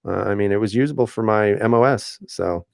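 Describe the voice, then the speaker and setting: A man hosting a casual live stream, reading viewer comments aloud and answering in a relaxed, chatty way with frequent fillers and easy laughs. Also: lowish voice, brisk, vocal fry, no room sound, clean studio sound. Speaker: channel host reading live chat.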